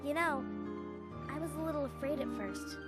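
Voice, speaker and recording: A woman voicing a gentle, wistful teenage island girl in a video game cutscene. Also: high voice, normal speed, natural voice. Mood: wistful, hopeful. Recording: loud music under the voice.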